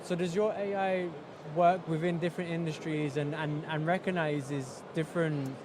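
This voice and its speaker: A male interviewer speaks in a slightly higher-set voice with a casual, halting delivery, often repeating words as he forms a question. He is recorded amid heavy noise on a busy exhibition floor. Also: steady tone. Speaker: event interviewer. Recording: loud trade show floor noise.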